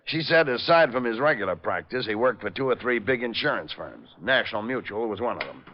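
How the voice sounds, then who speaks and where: Male actor for plainspoken old-radio cop boss; matter-of-fact, animated pitch, muffled sound. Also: brisk, a little hiss or hum, close to an everyday voice. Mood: brisk, commanding. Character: brisk, businesslike police lieutenant, plainspoken. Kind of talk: 1940s radio detective acting. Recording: dull vintage radio recording.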